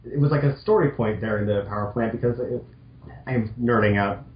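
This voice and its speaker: A man's voice: fairly low pitch, brisk, a little hiss or hum. A young man chatting casually as a commentator, nerdy and nitpicking, with an exasperated manner. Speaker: co-reader and commentator. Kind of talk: casual nerdy joking chat. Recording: dull, echoey home recording.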